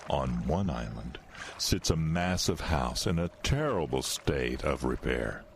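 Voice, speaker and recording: An actor playing an ominous ghost-story narrator in a radio drama, slow and deliberate, over stormy water effects. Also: male voice, very deep voice, very animated, creaky, slight background noise, heavily acted. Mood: ominous, brooding.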